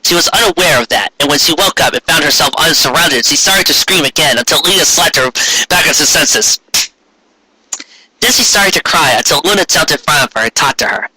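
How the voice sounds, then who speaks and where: A man's voice: a little high, lively intonation, fairly quick, bright, forceful tone, slightly rough, dry recording, poor audio. A man reading fan fiction aloud theatrically, as comic narration with mocking asides. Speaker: fan fiction reader, commentator.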